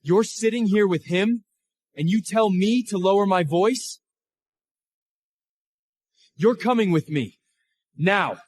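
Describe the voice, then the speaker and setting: A man's voice: sits high, takes it slowly, pauses often, no echo. A man acting an entitled, hot-tempered rich man, outraged and accusing, in a melodramatic drama. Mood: angry, demanding.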